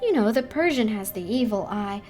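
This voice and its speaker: A high, girlish voice acted out by a woman reader for a timid, excitable young ballet dancer in a storybook. She chatters on with breathless, superstitious insistence, like an eager gossip, over soft background music. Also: normal speed, natural voice.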